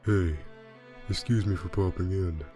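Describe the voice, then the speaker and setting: A man's voice: deep voice, fairly even intonation, a little slow, over music. A man playing a laid-back, wry, pun-loving skeleton reaper in a comic dub, with a dry, drawling delivery. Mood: sheepish, joking. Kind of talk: fan comic dub voice acting.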